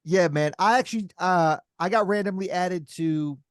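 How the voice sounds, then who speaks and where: A man's voice: high voice, normal speed, smooth, no echo, studio quality. A man, a rapper, talking in a laid-back, loose way on a podcast, with many fillers and repeats. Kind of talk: casual podcast chat.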